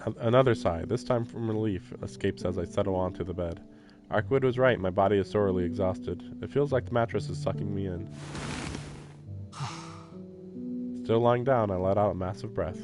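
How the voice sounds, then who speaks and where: A man's voice: fairly low pitch, measured pace, long pauses. A man live-streaming a visual novel, reading the text aloud and adding casual, wry, offhand comments. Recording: faint game music behind the voice. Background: game soundtrack.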